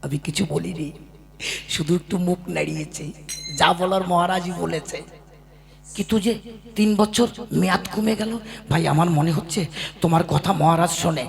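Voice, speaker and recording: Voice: medium pitch, normal speed, gravelly, long pauses, lightly acted. A performer voicing a sly, greedy male government clerk in a comic puppet-show cartoon, boastful and cunning. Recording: music bed under the voice. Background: music.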